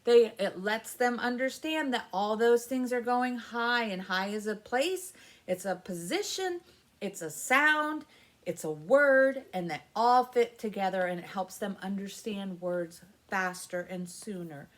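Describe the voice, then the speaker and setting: A woman's voice: medium pitch, lively intonation, a little slow. A middle-aged woman leading a baby story time as a children's librarian, explaining things simply and encouragingly to parents in a sing-song way. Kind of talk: instructional talk to parents with songs and rhymes. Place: small indoor room with children's alphabet backdrop.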